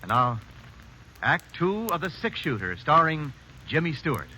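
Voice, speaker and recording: A man's voice: fairly high pitch, big pitch swings, a little slow. A man announcing a 1950s radio drama. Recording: noisy vintage radio recording.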